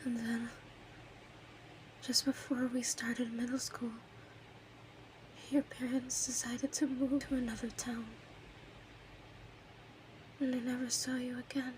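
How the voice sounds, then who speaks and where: A woman's voice: on the high side, flat delivery, slow pace, long pauses, a little hiss or hum, poor audio. A woman playing an obsessive, lovesick kidnapper in her thirties in an intimate, emotional audio roleplay monologue. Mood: tender, wistful. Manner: clingy, emotional, swings between breathy whispers and outbursts.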